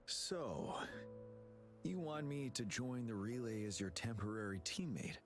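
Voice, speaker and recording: A man's voice: mid-range voice, animated, a little slow, natural voice. A man playing a composed, courteous, reassuring general in a video game. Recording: music playing behind the voice.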